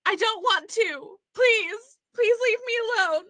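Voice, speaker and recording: A woman's voice: very high-pitched, unhurried, sharp tone, no echo, heavily acted. A woman playing a frightened, defiant young psychiatric patient in a dramatic table read, pleading and emphatic. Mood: distressed pleading.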